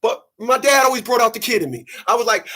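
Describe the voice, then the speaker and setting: A man in his thirties, sweaty after a run, giving an animated, preachy monologue to his channel's viewers. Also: very high-pitched, fast talker. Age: 30s. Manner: animated, preachy, mocking quoted voices. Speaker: channel host. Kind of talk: casual monologue to camera.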